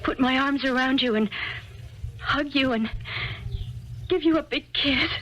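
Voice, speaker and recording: An actress playing an earnest, affectionate young daughter in a 1970s radio drama, speaking tenderly. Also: female voice, sits high, measured pace, pushed and bright, slight background noise, poor audio.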